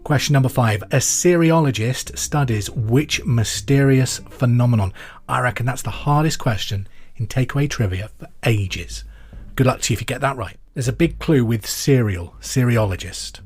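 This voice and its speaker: A man hosting a pub quiz podcast, reading questions and answers in a clear, deliberate way with casual asides. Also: mid-range voice, a little mellow. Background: music under the voice.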